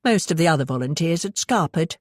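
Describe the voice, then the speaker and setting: British female audiobook narrator, low register, scripted novel reading, very dry close sound. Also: lively intonation, a little fast, no room sound.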